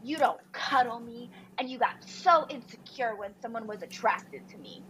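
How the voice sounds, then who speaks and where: A woman plays a combative, sweary fiancée in a reality-show blowout. Her voice is high and swings widely as she hurls accusations, with clear noise and clicks behind it. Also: lively intonation, normal speed, fairly bright tone, low-quality recording. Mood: indignant. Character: angry, foul-mouthed, insecure reality-show fiancée in a blowout fight. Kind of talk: dramatic reading of a reality TV argument.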